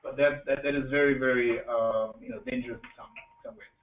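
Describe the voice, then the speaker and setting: Male meetup host, medium pitch, informal and halting, joking delivery, roomy echo, thin phone-like sound. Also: sing-song, recorded from a distance. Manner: joking, halting, asks the audience questions. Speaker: meetup host introducing speakers. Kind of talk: informal live presentation to an audience. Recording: echoey room, tinny band-limited sound, faint noise.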